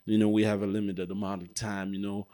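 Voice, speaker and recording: A man's voice: on the low side, level tone, fast, mellow. A Zambian man co-hosting a casual podcast, speaking English with a Zambian accent and slipping local words into his talk. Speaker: podcast co-host.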